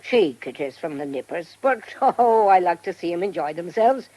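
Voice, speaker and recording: An actress playing an old, friendly, gossipy village shopkeeper in a radio drama, rambling and folksy in a rural southern English accent. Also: female voice, fairly low pitch, expressive, normal speed, mellow, no room sound. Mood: gossipy, amused. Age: older.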